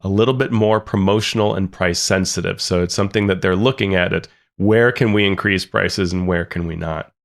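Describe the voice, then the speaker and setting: A man's voice: low-pitched, brisk, vocal fry, hardly any pauses. A man co-hosting a tabletop gaming podcast, casually running through earnings figures with dry asides. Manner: relaxed, reads figures off notes with dry asides. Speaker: podcast co-host. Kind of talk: casual news discussion.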